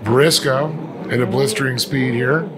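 Man calling a race over loud engine noise. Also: medium pitch, unhurried. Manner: colloquial, folksy racing slang. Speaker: race announcer. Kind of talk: live watercraft race commentary. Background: loud watercraft engines outdoors.

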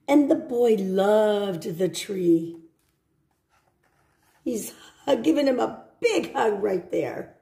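A woman reading a children's storybook aloud, with simple, deliberate phrasing and short asides for young listeners. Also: medium pitch, expressive, creaky, warm tone, roomy sound. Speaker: storybook reader. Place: indoor room at home.

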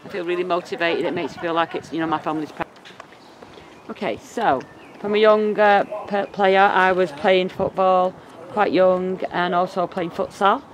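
A woman's voice: lowish voice, fairly even intonation, normal speed. Woman interpreter voicing players outdoors. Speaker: sign language interpreter voicing deaf players and coach. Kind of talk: first-person voice-over interpreting. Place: outdoors at a football pitch. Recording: loud outdoor noise.

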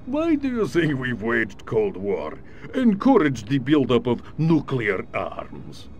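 Sneering alien bad guy, slow and showy, swings his pitch all over. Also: wildly swooping pitch, a little mellow, a little hiss or hum. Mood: triumphant. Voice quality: gloating, theatrical, sneering. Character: scheming male alien villain disguised as a Russian general. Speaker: villain. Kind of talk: video game cutscene acting.

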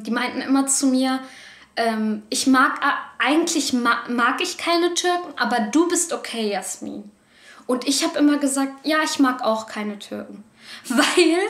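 Candid young woman in an echoey room. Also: on the high side. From Germany. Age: young adult. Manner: candid, animated, gestures a lot. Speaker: vlogger. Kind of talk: personal storytelling to camera. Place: living room, on a sofa.

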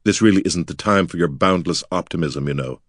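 Grim tired hero guy, dry clipped delivery, deep low voice, man reading. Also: a little fast, no room sound. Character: grim weary male adventurer.

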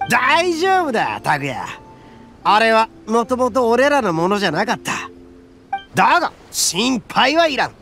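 An actor playing a boastful, brash young male oni gang leader in a game cutscene, with a rough, swaggering way of talking and a gravelly edge. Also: extremely high pitch, very animated, a little slow, exaggerated voice. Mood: protective. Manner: swaggering, rough-spoken, self-proud. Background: soft game music.

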